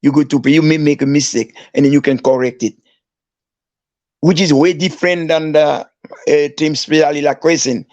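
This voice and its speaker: An older man from Haiti, a former player and coach, telling stories in halting, broken English, often restarting his phrases. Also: on the high side, fairly even intonation, a little mellow, no room sound, dull audio. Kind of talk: casual storytelling.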